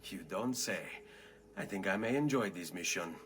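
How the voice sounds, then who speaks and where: An actor playing a confident, courteous and wry young Italian assassin in a video game cutscene, with an Italian accent. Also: male voice, sits low, expressive, normal speed, fairly bright tone, slight background noise. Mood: wry, determined.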